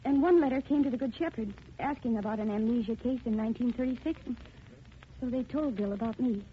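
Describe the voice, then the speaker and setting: A woman's voice: a little high, quick pace, lightly acted. A woman playing a young claimant to an inheritance in a 1940s radio drama, slangy and brash. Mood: earnest, subdued. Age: young adult. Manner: slangy, cocky. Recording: dull, noisy 1940s radio recording.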